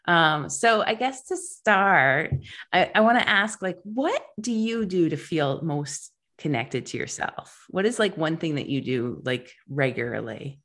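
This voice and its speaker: A woman's voice: deep voice, lively intonation, unhurried, fairly bright tone. A woman hosting an interview podcast, introducing topics and asking her guest questions. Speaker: podcast host.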